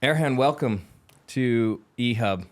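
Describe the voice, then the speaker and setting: A man's voice: mid-range voice, slow, background noise. Eager male podcast host, wordy questions. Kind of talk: casual interview chat.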